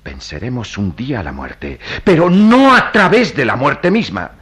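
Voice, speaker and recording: An actor playing a grave, compassionate priest in a radio drama, speaking in solemn, oratorical phrases. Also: male voice, medium pitch, huge pitch swings, normal speed, a bit bright, slightly rough, heavily acted. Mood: fervent, grave. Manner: solemn, oratorical, emphatic delivery. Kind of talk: melodramatic Latin American radio drama acting.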